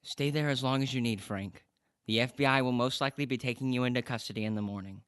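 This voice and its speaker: A man playing a smug, breezy scientist in an audio drama, glib and quick to justify himself. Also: mid-range voice, fairly bright tone, clean tone, no echo, studio quality, close to an everyday voice. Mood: glib, apologetic.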